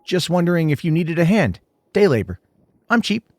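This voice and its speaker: A man's voice: fairly high pitch, big pitch swings, normal speed, a little mellow, no room sound, pitched above the performer's own voice. A man playing a wary drifter who bluffs in a casual, coaxing way, in an audio horror story. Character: wary male drifter bluffing, eager for answers.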